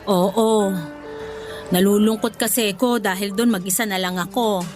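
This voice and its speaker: A woman's voice: mid-range voice, fairly even intonation, a little slow, over music, lightly acted. An actress playing an affectionate, motherly older former nanny in a Filipino radio drama, with a Filipino accent. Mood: grieving.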